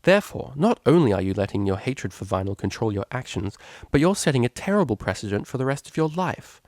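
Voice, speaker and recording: A man voicing a prim, proud young mare, a cellist, who speaks in a formal, measured, articulate way in a storybook reading. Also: mid-range voice, big pitch swings, darkish tone, dry recording, clean studio sound. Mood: stern, lecturing.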